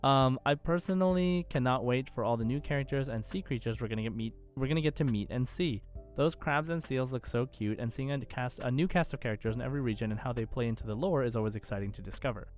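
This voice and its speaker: A man's voice: mid-range voice, quick pace, faint music behind. A man co-hosting a casual gaming podcast, conversational and enthusiastic as he chats and reads out listener comments. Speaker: podcast co-host.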